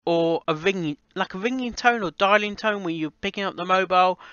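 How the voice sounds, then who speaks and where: A man chatting casually and unscripted in a reaction vlog, halting and often repeating and rephrasing himself. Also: high voice, bright, forceful tone, clean tone, dry recording, lo-fi sound. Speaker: amateur voice actor reviewing a fan fiction audio reading.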